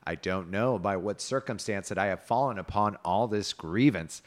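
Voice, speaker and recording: A man's voice: fairly low pitch, normal speed, close to an everyday voice. A man voicing a miserable medieval knight who laments and pleads in archaic, courtly words. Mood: pleading.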